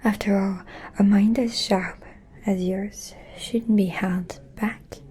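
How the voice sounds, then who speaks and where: A very breathy, whispering vampire noblewoman played by a woman in an ASMR roleplay. She is haughty and scheming, speaking slowly and deliberately. Every phrase is soft, mellow and insinuating. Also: medium pitch, steady tone. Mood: sly, insinuating.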